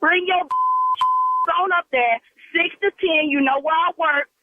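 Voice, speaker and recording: A woman's voice: extremely high pitch, takes it slowly, no echo. Defiant, combative woman on a tinny phone. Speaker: prank call target. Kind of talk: heated phone argument. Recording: tinny narrowband phone line.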